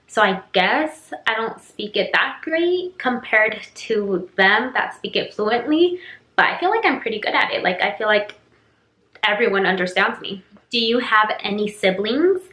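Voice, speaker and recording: A woman's voice: mid-range voice, bright, forceful tone. A young woman, a native Spanish speaker, chatting casually through a get-to-know-me Q&A, rambling with lots of "like" and "um". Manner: chatty, rambling, heavy use of "like" and "um". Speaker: YouTuber. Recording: echoey room.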